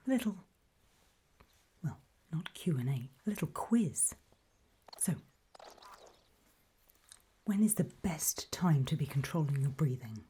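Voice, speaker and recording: A woman voicing a calm, ominous gatekeeper in a hushed, breathy ASMR roleplay, speaking mostly in deliberate whispers with pauses. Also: sits low, slight background noise. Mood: quietly instructive. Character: calm, ominous female gatekeeper briefing travelers. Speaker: ASMR performer.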